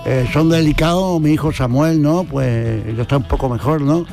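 A man's voice: on the high side, normal speed, vocal fry, mellow, soft background music. A man with an Andalusian accent chatting affectionately and philosophically on the radio, folksy, repeating himself and tagging phrases with questions. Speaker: regular radio guest. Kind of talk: casual philosophical radio chat.

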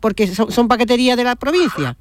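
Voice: medium pitch, expressive, quick pace, a little hiss or hum. A man hosting a live radio talk show, chatting casually and reacting briefly to others. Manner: chatty, interjects with short reactions. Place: radio studio.

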